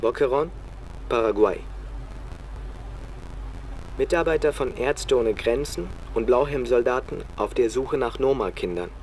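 An actor playing a sober reporter who narrates a radio feature in terse, deliberate sentences. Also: male voice, mid-range voice, darkish tone, slight background noise, natural voice, low-quality recording. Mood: sober, bleak. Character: sober male reporter recounting a bleak field search.

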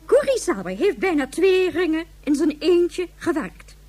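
A high-ranking woman of authority in a radio drama. Her voice is high and swings widely in pitch. She is brisk and formal, with a dry, sardonic edge. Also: very animated, a little mellow, heavily acted. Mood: dry, annoyed. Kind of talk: Dutch radio drama acting.

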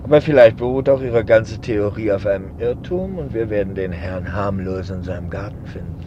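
An actor playing a formal, skeptical companion to an investigator in a 1950s German radio drama, reserved and questioning. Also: male voice, lowish voice, normal speed, natural voice. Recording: dull, noisy 1950s radio recording.

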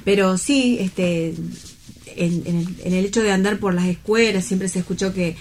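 A woman's voice: medium pitch, steady tone, normal speed, a little mellow, slight background noise. A woman with an Argentine accent hosts a casual round-table talk, speaking reflectively and often checking for agreement with little tag questions. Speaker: host of a round-table radio program.

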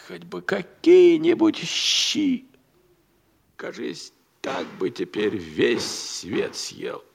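An actor playing a lazy, sullen, stubborn servant who talks back grudgingly in a folksy way, in a classic audio stage comedy. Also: male voice, mid-range voice, big pitch swings, very slow, creaky, echoey room, a little hiss or hum, exaggerated voice. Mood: hungry, brooding.

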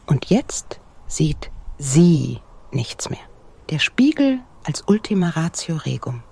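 A woman playing a scornful, self-justifying conspirator in an audio drama, dismissive and breathy in delivery. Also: low voice, very animated, slow pace, dark tone, exaggerated voice.

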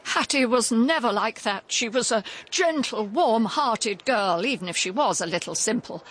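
A woman's voice: on the high side, lively intonation, normal speed, a bit bright. A woman playing a genteel, guarded elderly country lady in a radio drama, speaking with emphatic propriety. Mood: guarded, upset. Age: older.

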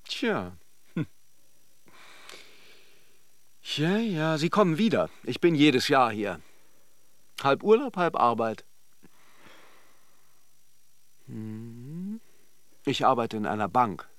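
An actor playing a chatty, flirtatious banker on holiday in a radio drama, playful and teasing. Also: male voice, medium pitch, very animated, slow, no echo, clean studio sound. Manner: playful, gossipy, teasing self-deprecation. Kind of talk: literary radio drama acting and narration.

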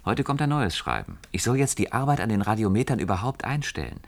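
An actor playing a puzzled, frustrated planning engineer in a science-fiction radio play, talking in a conversational, explanatory way. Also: male voice, lowish voice, sing-song, quick pace. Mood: brooding, suspicious. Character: puzzled, frustrated male planning engineer doubting his company.

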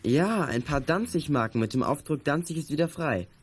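An actor playing an eager, earnest teenage schoolboy who works as an amateur detective in a children's audio drama. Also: male voice, fairly high pitch, expressive, moderate pace. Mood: eager, confident. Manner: eager, polite, confident.

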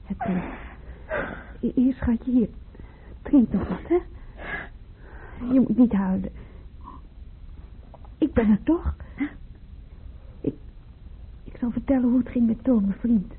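A woman's voice: fairly high pitch, slow pace, long pauses, husky, heavily acted. An actress playing a caring, practical female friend who coaxes and comforts in a Dutch radio play. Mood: soothing. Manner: coaxing, comforting, gently chatty. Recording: tinny, noisy old radio recording.

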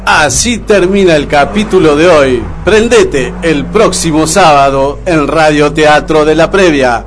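A man's voice: on the high side, measured pace. An Argentine man reading scripted narration for a radio play. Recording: clear music bed underneath.

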